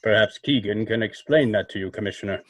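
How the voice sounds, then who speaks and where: An actor playing a knowing crime-fighting avenger in old-time radio drama style, speaking in a measured, formal, commanding way. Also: male voice, fairly low pitch, no room sound, close to an everyday voice. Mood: accusing, assured. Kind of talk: 1940s radio drama acting.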